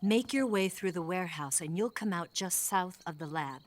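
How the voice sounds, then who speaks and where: An actress playing a composed, gently teasing female agent guiding a soldier in a video game cutscene. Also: lowish voice, animated, normal speed, close to an everyday voice.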